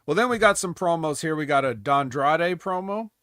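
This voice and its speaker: Bright wry man recapping wrestling. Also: on the high side, lively intonation, moderate pace, dry recording, clean studio sound. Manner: rambling, wry, full of fillers. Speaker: host. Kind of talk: casual recap chat.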